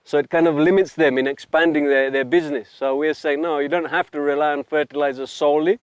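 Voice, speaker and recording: A man's voice: fairly high pitch, steady tone, quick pace, clean tone, no echo. A man explaining natural farming in a casual interview, outdoors beside crops. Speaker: farming advocate.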